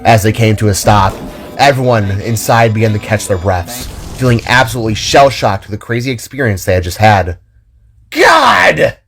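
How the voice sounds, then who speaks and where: A man's voice: lowish voice, fairly quick, soft background music. A man narrating a scripted audio drama in a dramatic storytelling manner.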